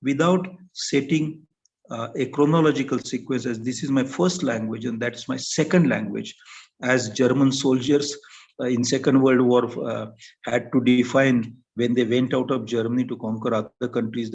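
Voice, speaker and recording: Older Indian English male panelist, gravelly voice, unhurried and halting delivery, muffled lo-fi recording. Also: medium pitch, steady tone, a little mellow. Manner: halting, thoughtful, with many pauses and fillers. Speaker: panel speaker. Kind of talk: academic talk.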